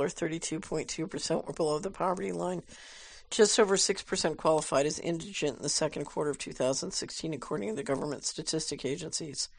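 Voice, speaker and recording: Woman host with a gravelly edge, dry close sound, reading letters and mulling them over, lots of ums. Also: fairly low pitch, no room sound. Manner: measured and thoughtful, frequent um and uh. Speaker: host answering viewer mail. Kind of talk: casual commentary, reading viewer letters aloud.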